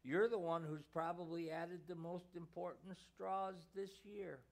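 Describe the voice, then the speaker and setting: A man doing a soft-spoken gentle mother. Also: high voice, fairly even intonation, unhurried. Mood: tender. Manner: soft, tender, at times firm. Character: gentle, firm mother comforting her son.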